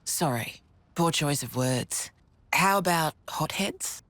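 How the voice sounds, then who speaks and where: A woman's voice: deep voice, lively intonation, slow, no echo, full character voice. A British actress playing a composed, frank woman who leads a rebel force in a video game. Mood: wry, frank. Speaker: resistance leader. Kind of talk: game cutscene acting. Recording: loud game music underneath. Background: game score.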